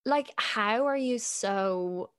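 A woman in her late twenties, a stand-up comic hosting a casual podcast chat, friendly and quick to relate. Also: fairly high pitch, clean tone, no echo, studio quality. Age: 20s. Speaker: podcast host and stand-up comedian.

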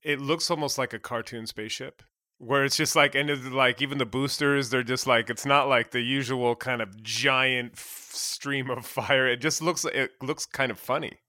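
A man's voice: medium pitch, steady tone, moderate pace, bright, forceful tone, no room sound, clean studio sound. A man hosting a casual interview, asking curious questions and giving short affirmations. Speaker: interviewer host.

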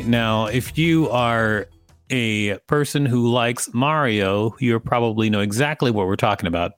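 A man's voice: medium pitch, no echo, studio quality. Casual male podcast host with music behind. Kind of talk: reading encyclopedia pages aloud with casual commentary.

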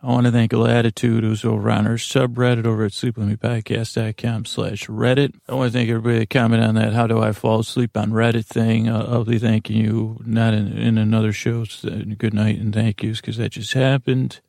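Gravelly, creaky man rambling softly. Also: fairly low pitch, level tone, no room sound, clean studio sound. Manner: meandering, halting, self-correcting with repeated false starts. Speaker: podcast host. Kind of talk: rambling bedtime podcast intro.

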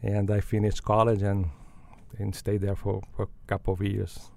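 A man's voice: fairly low pitch, monotone, moderate pace, vocal fry, darkish tone. An older man from Brazil, a guest answering interview questions thoughtfully, with frequent fillers and restarts. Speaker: guest, business school dean.

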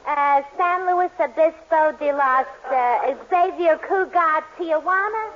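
Mercenary brassy shop owner, high voice, wry, folksy, old radio comedy, very noisy recording. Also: female voice, takes it slowly, lo-fi sound. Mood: wary. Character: brassy fake Spanish lace-shop proprietress, shrewd. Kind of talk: 1940s radio comedy acting.

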